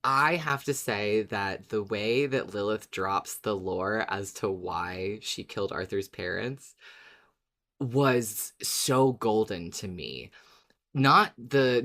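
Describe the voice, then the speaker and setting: Podcast cohost, medium pitch, dramatic and emphatic, talking thru a story like a big fan. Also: expressive, unhurried, fairly bright tone. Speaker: podcast co-host. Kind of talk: scripted recap, then animated fan discussion.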